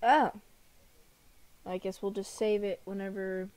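A woman's voice: mid-range voice, steady tone, a little slow, darkish tone. A young woman giving casual commentary on a game, chatty and reading on-screen text aloud, recorded at a desk in a small room. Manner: chatty, reads on-screen text aloud, clears throat often. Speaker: gaming YouTuber.

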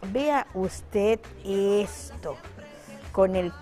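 A woman's voice: mid-range voice, very slow, mellow, faint music behind. A middle-aged woman hosts a live TV cooking segment in a studio kitchen, chatty and affectionate with viewers, full of exclamations. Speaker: TV cooking show host.

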